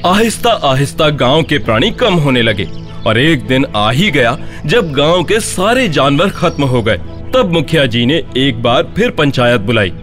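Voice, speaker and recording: A man narrating a scripted animated horror tale as a storyteller. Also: medium pitch, a little slow, soft background music.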